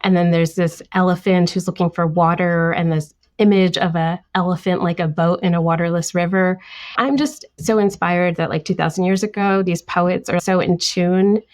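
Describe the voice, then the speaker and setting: A woman's voice: on the low side, moderate pace. A woman author whose first language is Tamil, talking reflectively in a podcast interview. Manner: thoughtful, lively, reads passages aloud. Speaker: author guest.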